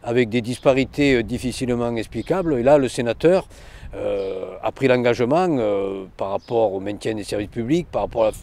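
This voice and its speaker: Halting, earnest man speaking French formally. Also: mid-range voice, darkish tone. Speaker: local elected official. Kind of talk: news interview soundbite.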